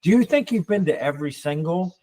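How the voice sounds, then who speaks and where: A man's voice: on the high side, expressive, brisk, dark tone. A man hosting a casual conversational interview as a podcast host.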